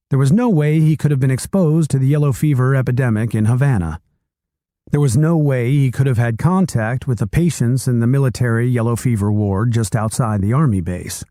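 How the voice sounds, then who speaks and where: A man reading a nonfiction book aloud in calm, measured storytelling narration. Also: medium pitch, no room sound, studio quality.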